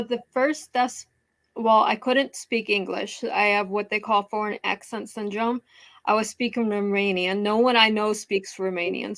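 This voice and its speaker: A woman's voice: mid-range voice, a bit bright. Candid woman sharing her story. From United States. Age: 30s. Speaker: guest. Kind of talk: personal interview.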